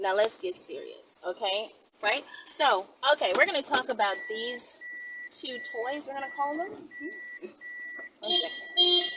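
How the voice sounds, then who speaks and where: A woman's voice: a little high, heavy background noise. A woman with a Bahamian accent chatting casually and bluntly to her viewers as host of her own channel, in informal language. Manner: blunt, informal, sprinkled with swearing. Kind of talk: casual, candid advice chat to viewers.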